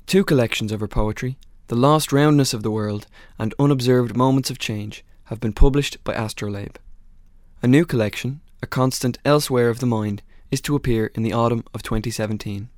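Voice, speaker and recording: A man's voice: medium pitch, brisk, darkish tone, dry recording, studio quality. A man with an Irish accent presenting and interviewing on a radio programme about poetry. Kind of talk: scripted radio introduction and conversational interviewing.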